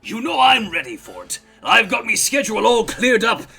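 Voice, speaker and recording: A man chatting casually with friends in an animated way, with faint music in the background. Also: high voice, moderate pace, gravelly. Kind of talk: casual chat. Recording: music behind the voice.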